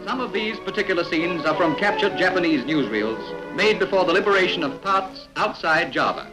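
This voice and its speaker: Male newsreel commentator, raised lively pitch, emphatic scripted delivery, prominent music. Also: normal speed, rough recording. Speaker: film narrator.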